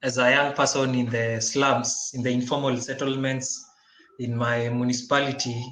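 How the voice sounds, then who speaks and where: A man from Kenya speaking as a panelist and social entrepreneur, halting, with many restarts and formal wording. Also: medium pitch, level tone, a bit bright, echoey room, low-quality recording. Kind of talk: conference panel talk.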